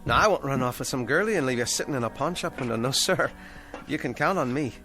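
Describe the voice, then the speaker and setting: A struggling ventriloquist in a radio drama, eager to please and forever hopeful. His delivery swings widely in pitch, with hesitant stammers and a placating manner. Music plays behind him. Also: expressive, fast, pushed and bright. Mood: anxious cheer.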